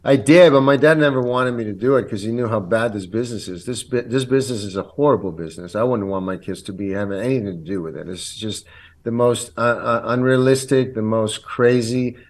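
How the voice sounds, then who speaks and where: A man's voice: mid-range voice, fairly quick. A man in his fifties whose first language is Italian, telling stories of his career earnestly as a podcast guest, with many ums and restarts. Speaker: guest actor. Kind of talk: casual interview chat.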